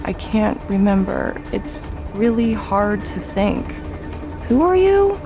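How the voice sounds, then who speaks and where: A young woman in a sci-fi audio drama, suspicious and on edge. She presses for answers with urgent questions at a middling pitch. Music plays clearly beneath her. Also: very animated, slow pace. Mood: confused, alarmed.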